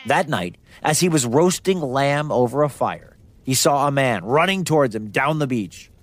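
A man's voice: medium pitch, expressive, moderate pace. A man narrating a scripted myth for children as a storyteller, in a dramatic but conversational way. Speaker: storyteller, podcast host. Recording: dry studio sound with music behind. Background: music.

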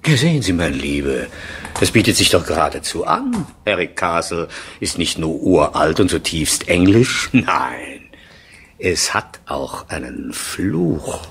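An actor playing a jovial, business-minded aristocratic castle lord in a 1970s radio play, chummy and grandiose with pauses for effect. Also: male voice, sits low, very animated, gravelly, fairly bright tone, slightly rough, heavily acted. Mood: jovial, flattering.

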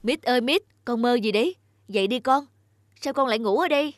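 A woman's voice: a little high, brisk, a little hiss or hum, natural voice. A woman playing a concerned young mother in a children's cartoon, calling out in a caring way. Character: concerned young mother waking her son. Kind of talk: Vietnamese children's cartoon dubbing.

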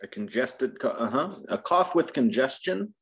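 Patient teacher, animated pitch, corrects gently and repeats phrases. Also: normal speed. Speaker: English teacher. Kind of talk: tutoring a student.

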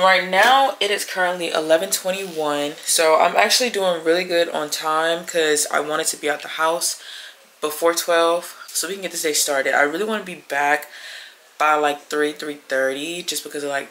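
A woman's voice: low voice, fairly bright tone, slight background noise. A woman in her twenties chatting informally to camera in a casual vlog style. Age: 20s. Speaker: vlogger.

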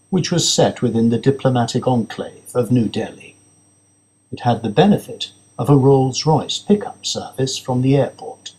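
A man's voice: medium pitch, darkish tone, poor audio. A man narrating a thriller audiobook in a scripted, dramatic storytelling style.

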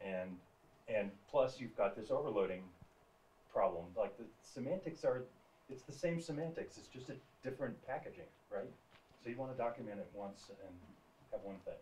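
A man's voice: medium pitch, expressive, moderate pace, darkish tone. A man chiming in from the audience at a technical talk, explaining casually and often checking with "right?". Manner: conversational, tags with "right?". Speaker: co-presenter adding comments from the room. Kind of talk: off-mic comment in a talk. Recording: roomy, distant from the mic.

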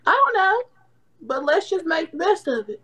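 A man acts out a sharp-tongued young woman with a very high voice. The persona bosses and insults people in improvised livestream banter, swearing freely and always ready for a confrontation. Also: very animated, moderate pace, exaggerated voice. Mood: bossy. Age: young adult. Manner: confrontational, bossy, foul-mouthed. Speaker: livestream host. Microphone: phone at arm's length.

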